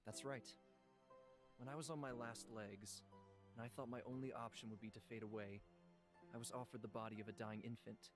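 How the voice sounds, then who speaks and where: An actor playing a gentle ancient god living in a human body, speaking in a measured, reflective way for a visual novel. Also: male voice, mid-range voice, steady tone, lightly acted. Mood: solemn. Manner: measured, solemn, reflective storytelling. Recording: music behind the voice. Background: instrumental music.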